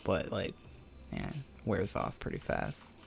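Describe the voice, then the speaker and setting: A man's voice: low voice, sing-song, slow, gravelly, a little hiss or hum. A young man casually chatting on a game stream, answering viewer questions in a laid-back, rambling way and laughing often.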